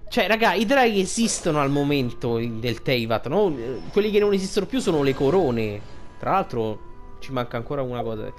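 A man giving casual gameplay commentary as a streamer, colloquial and thinking out loud, with some swearing. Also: fairly high pitch, big pitch swings, moderate pace. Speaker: video game streamer. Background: game music.

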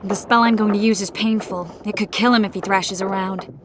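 An actress playing a shrewd, composed sorceress in a dark fantasy video game, cool and matter-of-fact. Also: female voice, medium pitch, fairly even intonation, a little fast, background noise, lightly acted. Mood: focused. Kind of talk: dramatic video game acting. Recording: music behind the voice.

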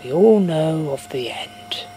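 An actor playing a solemn, burdened doctor in an audio drama monologue, grave and measured, sometimes hushed. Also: male voice, a little high, animated, poor audio. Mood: grave resignation. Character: solemn, burdened male doctor recording a last message. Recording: faint hum behind voice.

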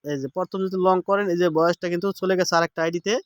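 A man narrates a casual how-to in a high, smooth voice. He talks fast and instructs step by step, often checking that the listener follows. The recording is dry and close. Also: steady tone, no echo. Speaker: app tutorial host.

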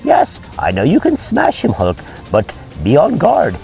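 A man voicing a friendly young male superhero in a high falsetto, playing with toys. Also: huge pitch swings, unhurried, gravelly, a little mellow, faint music behind, heavily acted. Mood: earnest. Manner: cheery falsetto, warning tone. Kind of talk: toy play voice acting.

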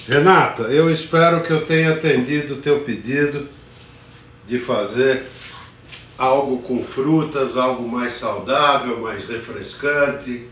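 A man's voice: mid-range voice, a bit bright, far from the mic, a little hiss or hum. An older man hosting a casual live cooking show in his home kitchen, chatting unhurriedly and greeting viewers by name. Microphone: phone camera at a distance. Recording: roomy kitchen echo from afar.

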